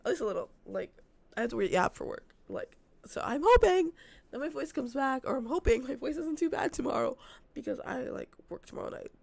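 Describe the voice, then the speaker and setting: A young woman vlogging from her apartment, losing her voice and hoarse, rambling casually with lots of fillers. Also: sits high, animated, fairly quick, no room sound. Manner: rambling, filler-heavy, self-described yappy. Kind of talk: casual unscripted vlog chat.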